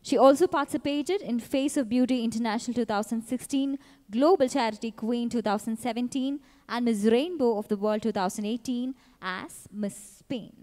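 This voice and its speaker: A woman announcer reading scripted introductions of pageant contestants in a measured, even way. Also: a little high. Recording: long hall reverb.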